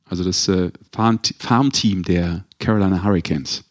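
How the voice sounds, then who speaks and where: A man's voice: low-pitched, moderate pace. A man hosting a casual sports podcast, chatting and teasing among colleagues. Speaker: podcast host.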